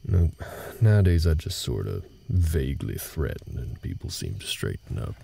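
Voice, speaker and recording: A man playing a gruff, world-weary but gentle cowboy ranch hand in an intimate audio roleplay, speaking in a halting, folksy way. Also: very animated, full character voice. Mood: relaxed, wry. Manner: halting, low-key, folksy drawl-like phrasing. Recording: night ambience noise behind the voice.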